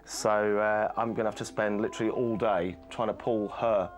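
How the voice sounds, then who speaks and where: A man's voice: fairly low pitch, fairly even intonation, moderate pace, soft background music. A man working as a vocal coach, giving firm, blunt pep talks to a singer. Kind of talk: coaching and reality TV interview.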